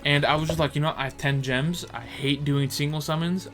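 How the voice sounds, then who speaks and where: A man's voice: on the high side, fairly even intonation, fairly quick, a bit bright, faint music behind. A young man co-hosting a podcast in casual chat, rambling and crude, often correcting himself mid-sentence. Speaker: podcast co-host.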